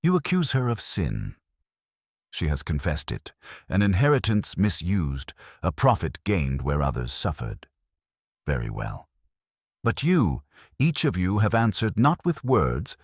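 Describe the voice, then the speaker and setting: Male narrator as unyielding genius sleuth for mystery audiobook: formal, commanding, very low, with emphatic swings in pitch. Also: wildly swooping pitch, no room sound, clean studio sound, heavily acted. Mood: stern, accusing. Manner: slow, measured syllables, formal and commanding. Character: imperious, calm, stern male detective genius, pedantic and unyielding.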